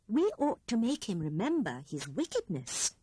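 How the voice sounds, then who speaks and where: A woman voicing a gentle, careful female grey rabbit who speaks softly and earnestly. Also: medium pitch, very animated, normal speed, slightly rough, no echo. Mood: hushed. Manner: soft, hushed, earnest.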